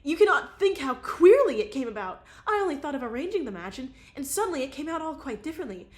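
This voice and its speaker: A woman reading aloud, voicing an emotional young society woman with a high, excitable voice. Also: quick pace. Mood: agitated.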